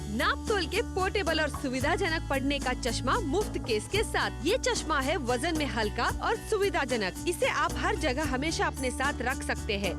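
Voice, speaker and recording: Woman voice-over for a product promo; high, animated read, music bed under it. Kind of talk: scripted ad narration.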